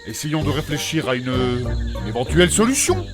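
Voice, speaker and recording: A man's voice: medium pitch, huge pitch swings, fairly quick, over music. A man playing a courteous, conciliatory adventurer in a comic fantasy audio drama, speaking in formal, pedantic phrases. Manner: polite, pedantic, formal wording.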